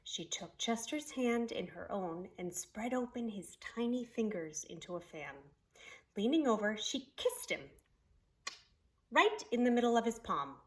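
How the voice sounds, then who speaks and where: A woman preschool teacher reading a picture book aloud in expressive storytelling narration for young children. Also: on the high side, a little slow.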